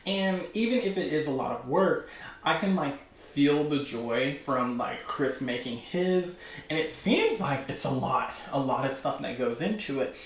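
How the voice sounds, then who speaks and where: A man hosting a casual livestream, talking in a gushing, excitable, rambling way peppered with "like". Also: medium pitch, a little slow. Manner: gushing, excitable fan enthusiasm; rambling, heavy use of "like". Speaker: livestream host. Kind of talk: casual livestream chat about webcomics. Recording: echoey room, distant from mic.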